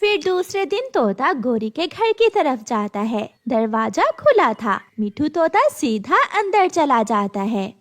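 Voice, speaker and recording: Female children's story narrator; high pitch, animated, scripted storytelling. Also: measured pace, hardly any pauses.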